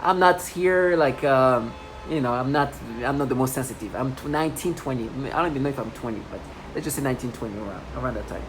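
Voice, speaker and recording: A woman's voice: extremely low pitch, fast. A woman in her thirties in a rambling personal monologue, agitated and repetitive, with many restarts. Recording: noisy home recording.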